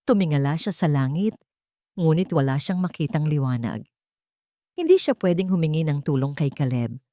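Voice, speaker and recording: A woman's voice: deep voice, very animated, moderate pace, dark tone, no room sound, clean studio sound. A woman reading scripted, dramatic narration of a romance story.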